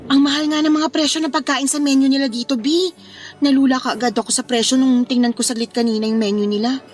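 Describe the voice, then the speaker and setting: A coy easygoing young filipino gal in a radio love story, pretty high voice. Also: female voice, steady tone, fast talker. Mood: impressed. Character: Laika, accommodating young woman, playful and coy with friends. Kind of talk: Filipino radio drama dialogue.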